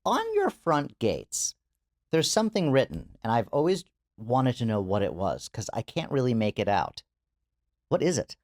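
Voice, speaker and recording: A man's voice: medium pitch, wildly swooping pitch, normal speed, a little mellow. A man interviewing a guest in casual chat, asking curious, playful questions. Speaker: interviewer, host.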